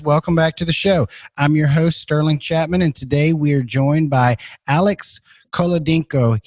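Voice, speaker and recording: A man's voice: on the high side, a little mellow, dry recording, clean studio sound. A man hosting a real estate podcast, talking in a friendly, casual way, full of "kinda" and "like". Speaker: podcast host. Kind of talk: podcast interview.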